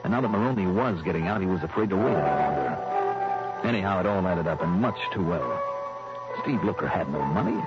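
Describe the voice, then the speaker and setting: Over music, in dull old-radio sound, a hard-boiled American private eye. His low voice moves widely as he narrates in clipped, guarded noir style. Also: lively intonation, brisk. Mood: realizing, intent. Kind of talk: 1960s radio drama acting, noir narration.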